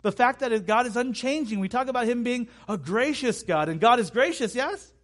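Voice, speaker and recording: Male preacher, thirties. High-pitched voice, emphatic sermon delivery with repeated phrases and chatty asides. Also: lively intonation, normal speed. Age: 30s.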